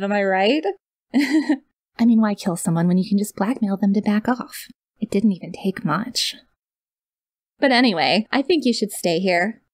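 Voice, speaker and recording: A sweet young yandere kidnapper, acted by a woman in a comedic audio roleplay. She rambles awkwardly and insists on her innocence in a medium-pitched voice, recorded dry and close to the mic. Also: no echo, lightly acted. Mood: nervously backpedaling. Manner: chatty, rambling, flustered self-denials, hesitant filler words. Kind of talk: ASMR roleplay, one-sided comedic monologue to the listener. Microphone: close studio mic, dry.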